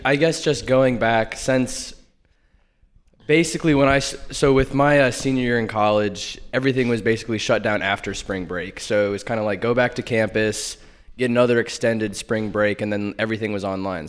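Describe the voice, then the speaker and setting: A man's voice: fairly low pitch, moderate pace. A man in his twenties from North Carolina, a young TV reporter talking easily as a podcast guest. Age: 20s. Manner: easygoing, hedging with "not gonna lie". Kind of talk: casual interview.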